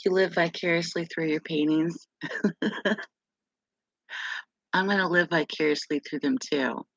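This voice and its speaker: Middle-aged woman host, gravelly low voice, unhurried chatty live stream delivery, dry close sound. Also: steady tone, dry recording, lo-fi sound. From West Virginia, USA. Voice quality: deep, gravelly voice. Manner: slow, rambling, laughs often. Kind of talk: casual live chat with viewers.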